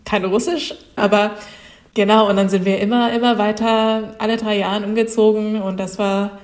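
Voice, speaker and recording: A woman, telling her story in a chatty, easygoing way. She fills pauses with small hesitations and laughs often. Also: medium pitch, flat delivery, a little mellow. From Japan. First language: Japanese. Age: 30s. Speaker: guest. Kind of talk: casual interview.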